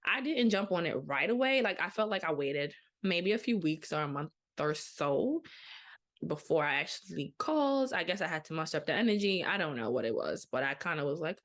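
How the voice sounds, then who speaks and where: A woman in her thirties hosting a podcast, telling a personal story in a casual, chatty way with lively quoted asides. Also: on the low side, creaky. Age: 30s. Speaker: podcast host. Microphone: podcast microphone.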